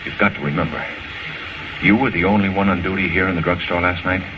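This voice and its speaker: A muffled, noisy old radio recording of an actor as a low-voiced male newspaperman. He is intense and insistent, speaking in clipped dramatic phrases. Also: steady tone, fast talker, close to an everyday voice. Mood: pressing. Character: urgent newspaperman, bereaved fiancé turned investigator. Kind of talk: 1940s-50s radio drama acting.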